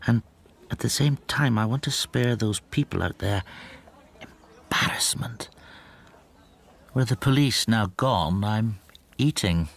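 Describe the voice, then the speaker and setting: An actor playing a timid foreign stamp dealer in a radio drama, speaking softly and brooding. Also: male voice, lowish voice, slow, pauses often. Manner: soft, subdued, brooding.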